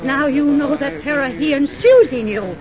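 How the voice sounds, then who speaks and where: An actress playing a vengeful, insinuating bride with deliberate theatrical delivery in an old-time radio suspense melodrama. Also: female voice, crowd noise, lightly acted. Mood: vengeful, frenzied. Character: cold, vengeful bride trapping her brother's tormentor. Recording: muffled, noisy vintage radio recording with rumble.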